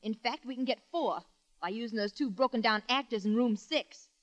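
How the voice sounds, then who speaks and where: An actress playing a young, sharp-tongued, weary boarding-house keeper, tart and bossy, in a 1940s radio comedy. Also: female voice, a little high, moderate pace, close to an everyday voice. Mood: scheming. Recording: muffled old radio broadcast.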